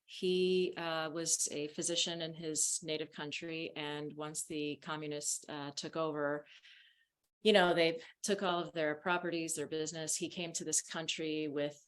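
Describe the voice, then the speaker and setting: A woman with a low voice whose first language is Spanish sits as an interview guest. She talks in a chatty, open way and laughs often as she tells her story. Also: steady tone, measured pace. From United States. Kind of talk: casual interview talk.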